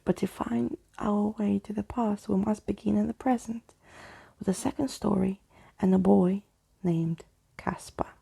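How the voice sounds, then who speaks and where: A woman playing a grandiose, courtly old male skeleton who tells tall tales in a florid, breathy storybook manner. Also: mid-range voice, fairly even intonation, dark tone, full character voice. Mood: boastful, whimsical. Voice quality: breathy, husky. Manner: theatrical, florid, slow.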